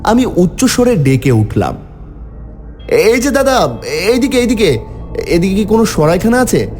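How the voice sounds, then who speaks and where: A man's voice: sits high, sing-song, a little slow, a little mellow, soft background music. A man narrating a cartoon horror story in the first person, theatrical and exclamatory when voicing the dialogue.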